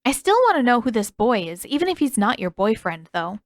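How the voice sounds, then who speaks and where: A curious young pupil played by a woman reader in a storybook reading, grudging yet playfully teasing. Her female voice is slightly raised in pitch and recorded dry. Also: very animated, fairly quick, dry recording. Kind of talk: acted audiobook dialogue.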